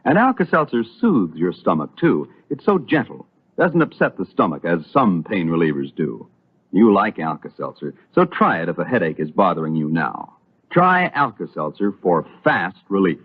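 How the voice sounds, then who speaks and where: Deep, emphatic American radio announcer. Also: male voice, very animated, moderate pace, breathy edge. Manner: polished, persuasive, emphatic delivery. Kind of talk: scripted sponsor announcement, old radio. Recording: muffled, tinny old radio broadcast.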